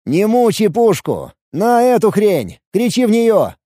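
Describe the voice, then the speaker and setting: A man's voice: sits high, big pitch swings, unhurried, warm tone, no room sound, studio quality, pitched above the performer's own voice, exaggerated voice. A man playing a bold, brash fighter and leader with a commanding, urgent delivery in an audiobook.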